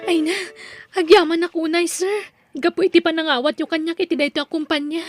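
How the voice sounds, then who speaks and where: A woman's voice: very high-pitched, steady tone, fairly quick, faint music behind. A woman playing a strict, haughty young HR officer in an Ilocano audio drama, speaking in a stern, scolding way. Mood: grateful. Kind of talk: Ilocano radio drama acting.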